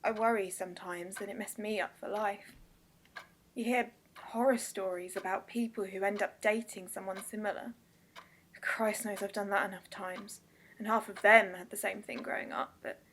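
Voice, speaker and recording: A woman's voice: medium pitch, fairly bright tone, close to an everyday voice. An actress playing a teasing, flirtatious woman who is insecure and wounded underneath, in a radio drama. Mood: subdued, confiding. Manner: teasing, confessional.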